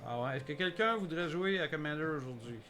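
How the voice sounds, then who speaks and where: A man in his forties chatting casually as a live streamer, halting and repeating words as he rambles. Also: a little high, animated, pushed and bright, soft background music. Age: 40s. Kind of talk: casual chat with stream viewers.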